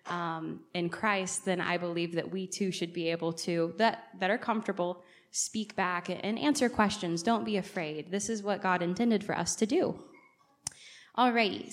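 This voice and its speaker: A woman, a guest preacher and native English speaker, giving a conversational church sermon and often putting questions directly to the congregation. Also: mid-range voice. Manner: engages listeners with frequent direct questions.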